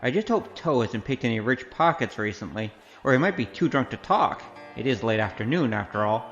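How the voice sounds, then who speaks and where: A man's voice: mid-range voice, expressive, a little fast, a bit bright, soft background music, close to an everyday voice. Man acting a wry gambler, dull recording. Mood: musing. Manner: sardonic, teasing. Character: wry, cocky wandering gambler and martial artist. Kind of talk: audio drama acting with spoken self-narration. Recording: dull, slightly noisy audio.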